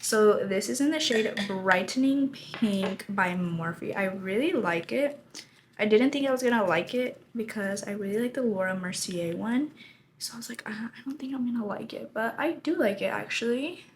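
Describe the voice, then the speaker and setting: A woman in her twenties, her voice raspy from a lost voice, chatting casually while doing her makeup, laughing often. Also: mid-range voice, animated, normal speed, slight background noise. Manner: chatty, rambling, laughs often, says y'all. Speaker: beauty vlogger. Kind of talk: casual get-ready-with-me chat.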